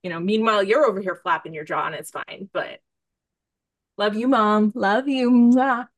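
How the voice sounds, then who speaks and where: A woman hosting a podcast in casual conversation, effusive and informal, thinking out loud as she talks. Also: mid-range voice, normal speed, no echo. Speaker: podcast host interviewing a guest.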